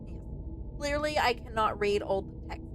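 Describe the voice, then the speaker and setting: A woman's voice: on the high side, a little slow, a little hiss or hum. A woman hosting a let's play, casually commenting, reading aloud and reacting expressively to the game. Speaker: streamer, let's play host. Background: faint game music.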